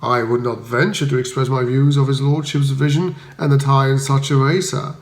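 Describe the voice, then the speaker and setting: A man voicing a shrewd, deferential English valet in a comic audiobook, speaking in a measured, formal and courteous way. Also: mid-range voice, fairly even intonation, reverberant, natural voice. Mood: composed, tactful.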